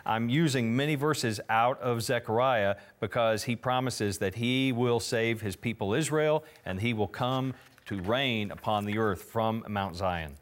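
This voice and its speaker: A man's voice: mid-range voice, a little slow. Earnest man co-hosting a prophecy show. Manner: earnest and affirming, turns to the partner with questions. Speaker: co-host of a Bible prophecy TV program. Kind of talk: conversational Bible teaching.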